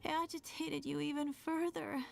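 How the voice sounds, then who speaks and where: A woman voicing a tender, self-blaming younger sibling in a video game drama, weak and resigned, with halting, sighing delivery. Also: high-pitched, level tone, vocal fry, soft background music, full character voice. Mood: sorrowful, tender.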